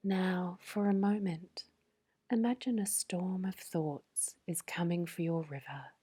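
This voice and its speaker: Woman, breathy whisper, sleep meditation guide, very slow, low and mellow delivery. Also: steady tone. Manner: slow, soothing, gentle.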